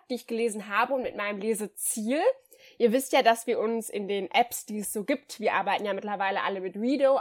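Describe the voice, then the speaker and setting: A woman co-hosting a book podcast in casual chat, a bit nasal from a cold, cheerful and chatty. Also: on the high side. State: has a cold, slightly nasal. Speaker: podcast co-host.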